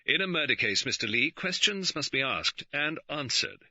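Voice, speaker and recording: A man plays an older chief constable with a crisp, brisk and impatient manner. His tone is bright and edgy, with a lively lift. The recording is dry and dull. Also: a little high, dry recording, voice pushed up, full character voice.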